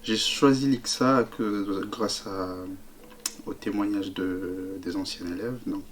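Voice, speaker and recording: A man, a student apprentice, speaking haltingly while searching for words. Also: fairly low pitch, fairly even intonation, a little hiss or hum. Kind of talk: casual testimonial.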